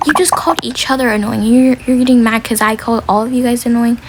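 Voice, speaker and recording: A woman's voice: on the high side, steady tone, fast, close to an everyday voice. Woman as exasperated older sibling, noisy recording. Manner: annoyed, scolding.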